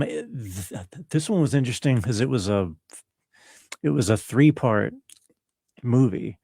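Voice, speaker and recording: A man speaking as an interview guest, explaining his work in a casual, laid-back, slightly halting way. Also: mid-range voice, a little mellow, no echo, clean studio sound. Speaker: interview guest, film composer.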